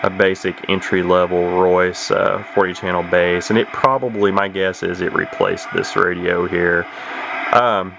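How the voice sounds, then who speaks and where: A man with a low voice and a creaky, fry-laden edge walks through a product casually and without a script. He is matter-of-fact and tends to ramble. The recording is very noisy, with hiss behind him. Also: flat delivery, measured pace. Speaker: radio collector giving a product demonstration. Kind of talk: casual unscripted product walkthrough.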